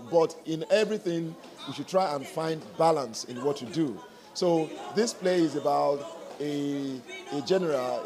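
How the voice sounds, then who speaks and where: A man with a Nigerian accent, an actor explaining his work in an interview in a measured way. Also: a little high, gravelly, a little mellow. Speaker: stage actor.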